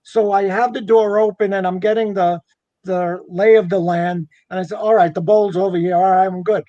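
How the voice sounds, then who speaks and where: A man's voice: high voice, steady tone, normal speed, dark tone, no room sound, lo-fi sound. A man telling a personal anecdote in a casual, conversational way, acting out what people said. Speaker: guest telling a personal ghost story.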